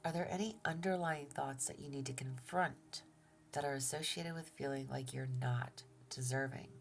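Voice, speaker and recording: A woman giving a gentle tarot reading, soft-spoken and reassuring, who often drops to a near-whisper and talks through a stuffy nose. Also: a bass voice, steady tone, normal speed, creaky, a little hiss or hum. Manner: gentle and reassuring, with fillers and restarts. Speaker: tarot reader. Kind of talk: relaxed tarot reading to viewers.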